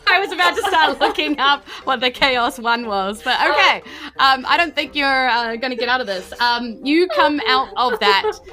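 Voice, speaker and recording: A woman with a New Zealand accent improvising narration as a tabletop game master in a conversational, halting way. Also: high voice, expressive. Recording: faint music behind the voice. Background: faint background music.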